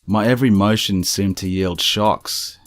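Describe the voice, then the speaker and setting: A low-pitched male narrator tells eerie first-person accounts from a script. His delivery is measured and serious, and the recording is close and dry. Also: steady tone, no room sound. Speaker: narrator of strange true stories.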